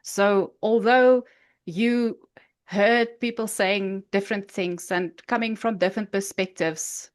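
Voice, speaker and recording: A woman from South Africa with an Afrikaans accent, a podcast guest telling her life story haltingly, with many restarts. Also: mid-range voice, steady tone. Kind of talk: personal story in an interview.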